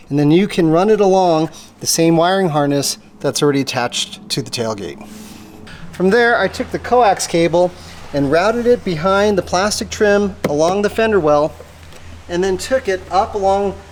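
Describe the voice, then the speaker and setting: A man hosting a casual how-to, explaining an installation step by step in a plain-spoken way, as he demonstrates. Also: on the high side. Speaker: host of a vehicle installation how-to. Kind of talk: casual hands-on tutorial narration. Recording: clear background ambient noise. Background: ambient noise.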